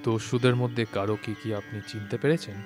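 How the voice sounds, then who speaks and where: Male actor as guarded king, lowish voice, calm, courteous, audio drama, faint music. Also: brisk, close to an everyday voice. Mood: probing. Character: composed, guarded king questioning a troubled subject. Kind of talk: dramatised audio story dialogue.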